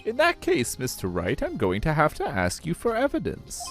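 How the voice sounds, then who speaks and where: A man voicing a fussy, elderly male judge in a fan dub of a game, talking in a high, exclaiming way. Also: wildly swooping pitch, normal speed, a bit bright, soft background music, heavily acted. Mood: stern.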